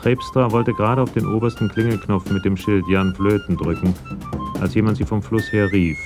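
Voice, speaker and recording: A man's voice: on the low side, steady tone, moderate pace, music in the background. A man reading scripted narration for a radio crime drama. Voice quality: gravelly.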